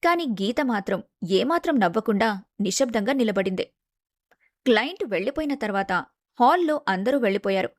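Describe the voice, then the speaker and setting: Female storyteller: fairly high voice, scripted narration of a family drama, very dry sound. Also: lively intonation, brisk, dry recording.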